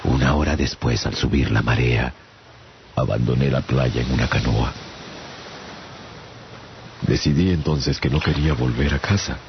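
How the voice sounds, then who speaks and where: Very deep, gravelly, haunted castaway. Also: male voice, unhurried, long pauses, noisy background. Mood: sorrowful, grave. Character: weary haunted castaway traveller, estranged from humankind. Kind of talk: Spanish-language radio drama, first-person narration and dialogue. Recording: dull lo-fi radio production. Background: dramatic music.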